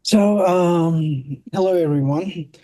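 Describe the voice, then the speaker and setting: A middle-aged man with a Turkish accent, telling stories casually as an interview guest, often halting and searching for words. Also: fairly high pitch, dark tone, dry recording, poor audio. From Turkey. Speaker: interview guest, product designer. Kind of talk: casual conversational storytelling.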